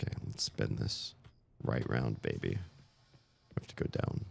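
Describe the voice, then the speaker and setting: Deep, gravelly man with a tired voice. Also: a little slow, darkish tone, slight background noise. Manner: grumbling, sarcastic complaints. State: losing his voice. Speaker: streamer playing a puzzle game. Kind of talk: casual live-stream commentary.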